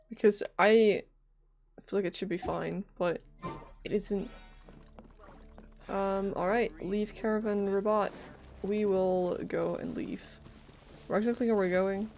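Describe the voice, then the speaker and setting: A woman's voice: mid-range voice, darkish tone. A woman live streaming a game, casually reading along and reacting with sarcastic, irreverent quips. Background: game music.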